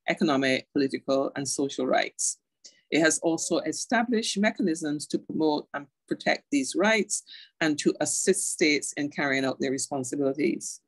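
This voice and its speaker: Barbadian woman giving a measured talk. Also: sits low, dry recording, rough recording. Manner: measured, with frequent um fillers. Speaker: guest speaker. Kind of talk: online lecture, partly read aloud. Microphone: video call.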